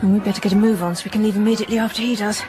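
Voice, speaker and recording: An actress playing a frank, curious young English hairdresser in a radio drama, with an English accent and a matter-of-fact manner. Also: female voice, medium pitch, steady tone, rapid-fire, over music, lightly acted. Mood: conspiratorial.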